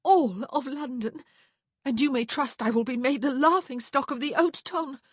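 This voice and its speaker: An anxious young English gentlewoman from a storybook, acted by a woman, high and very animated in pitch. She speaks with breathless urgency and a proper turn of phrase. The voice is slightly husky and closely recorded. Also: moderate pace, no room sound, studio quality. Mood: despairing. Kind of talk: audiobook character dialogue.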